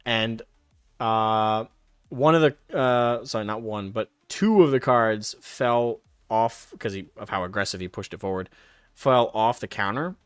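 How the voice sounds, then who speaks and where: A man's voice: fairly low pitch, measured pace, smooth, dry recording, clean studio sound. A man streaming casually, reading card text aloud and drifting into rambling personal stories. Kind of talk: casual live stream chat.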